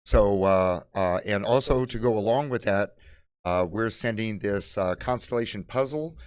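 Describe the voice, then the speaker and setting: Give this presenter a lowish male voice with a halting, filler-strewn delivery. He talks off the cuff as he shows products. The sound is very dry, with no room to it. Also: clear, resonant tone, no echo. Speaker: presenter of library program kits.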